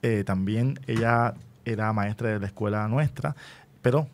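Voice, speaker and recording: A man with a Puerto Rican accent hosting an unscripted radio interview, speaking deliberately and often checking in with short rhetorical questions. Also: on the low side, a little hiss or hum. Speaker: radio host and school educator.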